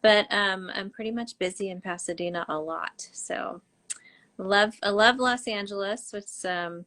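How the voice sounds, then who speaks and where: Woman hosting a live video chat; bubbly, enthusiastic, mid-pitched voice. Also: unhurried, fairly bright tone. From United States. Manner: bubbly, enthusiastic, laughs often. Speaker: host doctor. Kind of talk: live online interview.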